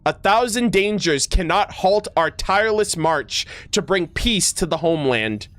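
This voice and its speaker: A man's voice: sits high, animated, measured pace, a bit bright, dry recording. A man doing casual gaming commentary as a streamer, enthusiastic and slangy, often stumbling over his words and reading game text aloud. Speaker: streamer reacting to a video game.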